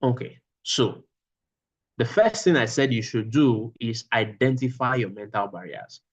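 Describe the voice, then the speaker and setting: A man leads an online lesson, going back over his own phrases to drive each point home. His voice sits at an ordinary middle pitch. The call audio is a little muffled. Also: animated, unhurried. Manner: repeats phrases for emphasis. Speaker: teacher. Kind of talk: online class lecture.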